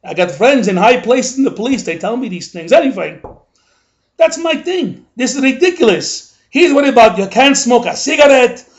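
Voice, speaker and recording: A man's voice: very high-pitched, very animated, moderate pace, full character voice. A man playing a grumbling older male New Yorker, ranting in a rambling, indignant comic monologue full of asides.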